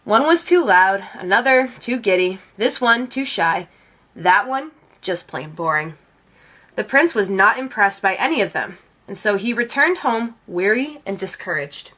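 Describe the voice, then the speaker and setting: A woman reading a children's picture book aloud as an expressive storyteller. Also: medium pitch, measured pace. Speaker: read-aloud storyteller, teacher.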